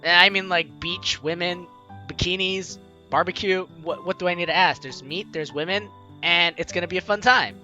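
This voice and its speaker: A man's voice: high voice, moderate pace, lo-fi sound. A young man hosting a let's play, casually commenting and reading game dialogue aloud in a playful way. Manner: animated, playful. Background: game music.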